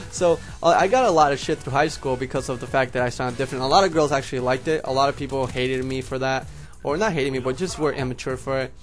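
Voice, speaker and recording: A man's voice: mid-range voice, a little fast, faint music behind. Young puerto rican guy w a slight lisp, spanish first language, rambly vlog chat to his homies. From Puerto Rico. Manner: rambling, talks straight to viewers as homies. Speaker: YouTuber. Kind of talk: casual vlog monologue.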